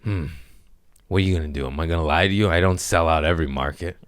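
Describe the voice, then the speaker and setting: A man hosting a podcast, rambling through loose, profane jokes in casual chat with a deep voice. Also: fast talker, dry recording, clean studio sound. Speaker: podcast host, comedian.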